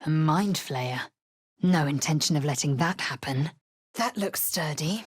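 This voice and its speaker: An actress playing a fierce, haughty young female alien warrior in a video game, with a raspy voice and clipped, imperious, disdainful delivery. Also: deep voice, expressive, measured pace, exaggerated voice. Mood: resolute, curt. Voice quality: raspy, husky. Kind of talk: video game character acting.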